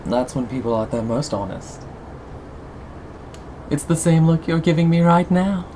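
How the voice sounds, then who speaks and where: A performer of unclear sex playing a sadistic, possessive cannibal captor in an ASMR roleplay, lingering on words with mock tenderness and soft menace. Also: medium pitch, animated, moderate pace, a little hiss or hum, natural voice, poor audio. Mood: gloating. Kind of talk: ASMR roleplay, scripted monologue to listener.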